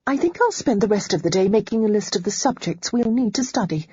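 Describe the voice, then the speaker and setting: A woman's voice: medium pitch, animated, brisk, dark tone, slightly rough, no echo, dull audio, pitched above the performer's own voice. A woman voicing a prim, proper young lady who patiently corrects others, lifting her voice above her own.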